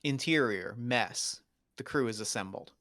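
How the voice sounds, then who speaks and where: A man's voice: mid-range voice, a little slow, bright, forceful tone. A man narrating, reading out screenplay stage directions in short, scripted phrases.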